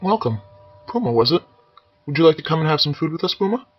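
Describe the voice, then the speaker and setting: A man voicing a gentle, soft-spoken young Quaker woman in a storybook reading. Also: on the high side, big pitch swings, fast, husky, dull audio, soft background music, voice pushed up.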